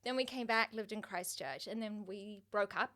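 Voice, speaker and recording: A woman with a New Zealand accent, a podcast guest and actor, telling stories in an animated, casual chat and acting out the voices of people she quotes. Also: medium pitch, moderate pace.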